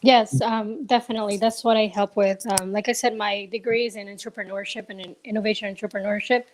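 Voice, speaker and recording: A young woman from Ecuador answers interview questions in a casual, hesitant way, full of fillers and restarts. Her voice sits at an ordinary middle pitch and comes through a remote call connection. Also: steady tone, fast talker, low-quality recording. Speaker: guest, business co-owner.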